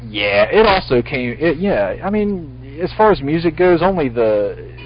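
A man's voice: on the high side, sing-song, measured pace. Full-voiced male talk radio host. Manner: rambling, full-voiced, many restarts. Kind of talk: casual call-in talk radio. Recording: lo-fi radio stream with faint hiss.

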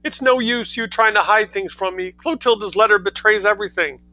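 A man reading a story aloud, voicing an excitable middle-aged mother in high, shrill, sobbing outbursts. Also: brisk, low-quality recording. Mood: frantic.

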